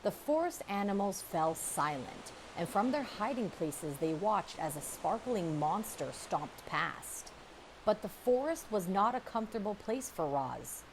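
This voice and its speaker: Female storybook reader, lively pitch swings, deliberate dramatic pacing, faint hiss behind the voice. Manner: expressive, measured storytelling with dramatic pauses. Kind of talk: children's audiobook read-aloud, scripted narration.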